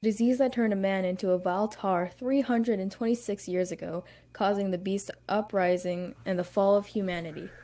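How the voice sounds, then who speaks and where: A woman's voice: medium pitch, fairly even intonation, a little fast, few pauses, mellow. A woman narrating a scripted drama as part of a table read.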